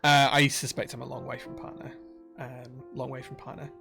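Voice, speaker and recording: A man's voice: medium pitch, level tone, normal speed, vocal fry, bright, forceful tone, faint music behind. A man with a broad Yorkshire accent, chatting casually on a live stream from his workshop and reading viewer comments aloud with lots of uhs. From Yorkshire, England. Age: adult, married. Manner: friendly, chatty, many uhs and restarts. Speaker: streamer and keyboard builder.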